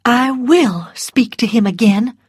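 A woman reads as a gentle young woman, raised high and earnest, firm beneath her softness. The read is slow and measured, dry and close. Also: breathy edge, dry recording. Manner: earnest, trembling resolve.

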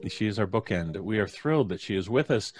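A middle-aged man with a deep, low voice hosts a casual live stream. He is jovial and halting, often pausing mid-thought as he introduces people. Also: expressive. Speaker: podcast host. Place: home studio, video call. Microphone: studio mic on boom arm.

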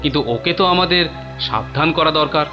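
A woman's voice: low voice, expressive, a little fast, highs cut off, over music. A woman voicing a concerned, helpful crane in a children's cartoon, advising a friend. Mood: alarmed.

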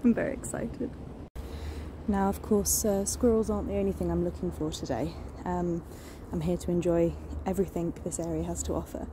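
A woman's voice: on the low side, fairly even intonation, normal speed. Chatty woman filming a nature walk. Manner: enthusiastic, chatty, many fillers. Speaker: nature vlogger. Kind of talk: casual walking vlog. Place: outdoors in woodland and on a beach. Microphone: phone held at arm's length. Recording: outdoor phone recording with noise. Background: distant planes and outdoor ambience.

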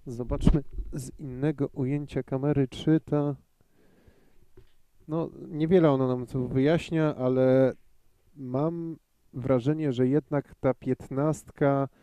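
A man giving live pool commentary in a measured way, thinking aloud with frequent hesitations. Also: mid-range voice, steady tone, warm tone, no echo.

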